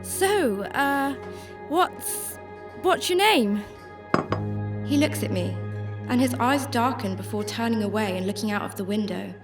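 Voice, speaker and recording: A woman's voice: fairly high pitch, animated, a little slow, recorded from a distance. A woman playing a compassionate, troubled homeless shelter volunteer, narrating and speaking in a radio drama. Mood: warm, tentative. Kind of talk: first-person radio drama narration and dialogue. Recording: roomy sound with music behind.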